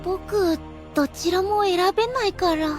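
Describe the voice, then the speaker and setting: A woman's voice: very high-pitched, a little slow, over music. A woman playing a cheeky, girlish fantasy guardian in an exaggerated anime audio drama style.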